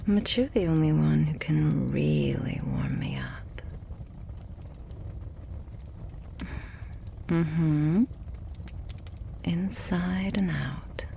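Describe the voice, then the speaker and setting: A woman playing a loving, possessive vampire girlfriend in an intimate ASMR roleplay, murmuring softly and breathily. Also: deep voice, big pitch swings, a little hiss or hum, heavily acted. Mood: tender, cozy. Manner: soft, breathy, slow murmuring that often drops to whispers.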